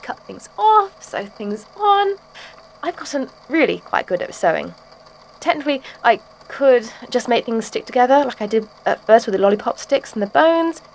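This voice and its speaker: Woman acting a high-voiced, blithely macabre sculptor woman. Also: slight background noise, rough recording. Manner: chatty, musing, blithely menacing. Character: serenely macabre female sculptor of living bodies. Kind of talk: audio drama monologue, conversational.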